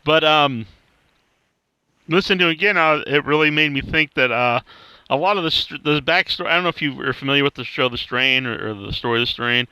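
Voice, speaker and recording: A man's voice: a little high, brisk, bright, forceful tone, clean tone, dry recording, rough recording. A man hosting a podcast in a casual, hesitant way, often repeating himself and backtracking. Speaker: podcast host, interviewer. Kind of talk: casual chat.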